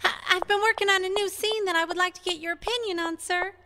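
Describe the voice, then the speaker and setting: An actress playing a young, eager would-be starlet with a plaintive, gushing manner. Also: female voice, very high voice, fairly even intonation, fast talker, background noise.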